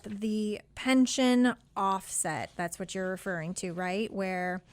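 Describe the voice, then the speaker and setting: A woman's voice: mid-range voice, a little mellow, clean tone, no room sound. Woman host explaining things patiently. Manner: friendly, explanatory, answers questions patiently. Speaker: financial advisor host. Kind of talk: call-in advice show.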